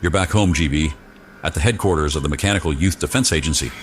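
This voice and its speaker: Man playing a low-voiced fatherly agency boss. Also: fast talker, a little hiss or hum. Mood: breezy, welcoming. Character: fatherly male military boss, consoling and folksy. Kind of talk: mock radio serial acting.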